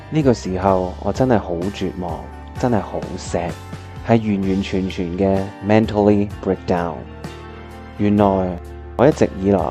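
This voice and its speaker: Man playing heartbroken young pianist, mellow tone, Hong Kong accent, earnest melodramatic storytelling, radio drama, piano music behind. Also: lowish voice, natural voice. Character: lovesick, heartbroken young male pianist hiding his feelings. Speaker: narrator and lead actor in a radio drama. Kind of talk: scripted dramatic first-person narration.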